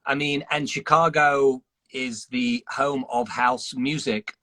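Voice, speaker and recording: A man's voice: mid-range voice, steady tone, takes it slowly, bright, forceful tone. A man hosting a casual interview over a video call, eagerly prompting his guest and tripping over restarts. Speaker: host.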